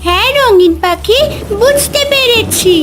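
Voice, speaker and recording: A woman's voice: very high voice, lively intonation, measured pace, music in the background. A woman voicing a kind, innocent little village girl in a cartoon story, speaking in a sweet, earnest, childlike way. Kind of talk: Bengali cartoon story dubbing.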